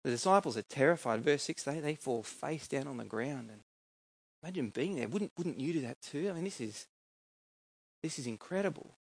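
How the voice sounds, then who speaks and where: A man's voice: mid-range voice, lively intonation, moderate pace, vocal fry, slightly rough, no room sound, rough recording. A man with an Australian accent preaching a sermon in an informal, conversational way, often putting questions to his listeners. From New South Wales, Australia. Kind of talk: sermon with scripture reading and personal anecdotes.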